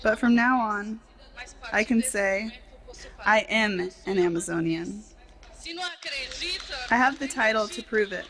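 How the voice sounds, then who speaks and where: A woman reading a translated tribute and speech as a voice-over, in measured, formal phrasing with short clauses. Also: medium pitch, low-quality recording.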